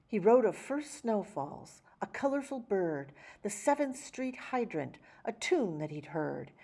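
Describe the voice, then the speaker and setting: A woman reading a rhyming children's picture book aloud, with theatrical, measured phrasing and dramatic emphasis. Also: medium pitch, very animated, creaky, dark tone. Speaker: children's story reader. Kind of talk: expressive picture-book read-aloud in rhyme.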